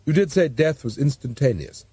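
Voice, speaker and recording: Audiobook role: male reader as serene, daft young wife, genteel, lifted pitch, lively swoops. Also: normal speed, breathy edge, no room sound. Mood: blithely consoled. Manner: genteel, blithely matter-of-fact. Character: placid, daft, young pregnant woman, serenely unbothered.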